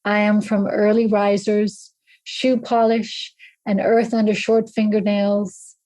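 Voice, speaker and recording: A woman in her fifties from southern Africa, reading her own poem aloud in a measured, even recitation as a podcast guest. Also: medium pitch, no room sound. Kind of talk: slow poetry reading.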